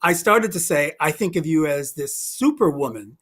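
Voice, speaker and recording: A man's voice: fairly high pitch, expressive, moderate pace, fairly bright tone. A man hosting an interview over a video call, enthusiastic and complimentary, asking long rambling questions. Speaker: host. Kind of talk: casual interview chat.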